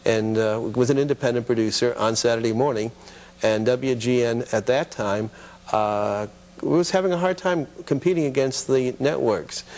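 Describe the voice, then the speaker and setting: A man's voice: mid-range voice, darkish tone. A middle-aged man, a television producer, casually reminiscing as an interview guest in a studio.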